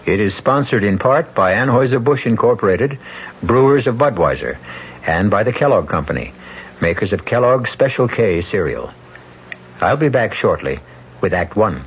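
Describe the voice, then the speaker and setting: An older man hosting and narrating a radio mystery show in a grave, measured, dramatic way. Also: on the low side, animated, breathy edge. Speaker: host and narrator. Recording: dull 1970s radio broadcast with music.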